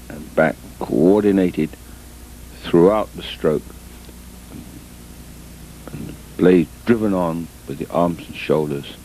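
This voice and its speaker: A man giving measured, deliberate instructional sports commentary as a coach, in short phrases broken by pauses, on an old VHS tape recording. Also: dark tone. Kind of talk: instructional film commentary over footage. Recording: noisy, muffled old VHS tape, rumble.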